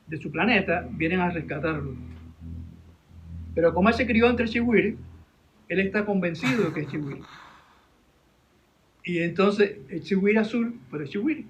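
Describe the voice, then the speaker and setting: A man with a Venezuelan accent telling a story informally in an interview, halting and repeating his words. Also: high-pitched, slight background noise, low-quality recording. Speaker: interview guest, animation director and teacher.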